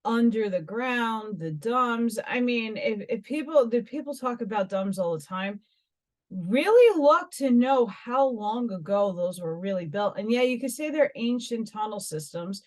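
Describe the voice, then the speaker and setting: Slow, musing American woman. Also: on the high side, lively intonation, darkish tone. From New York, United States. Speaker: host of a metaphysical vlog. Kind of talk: reading articles aloud with rambling personal commentary.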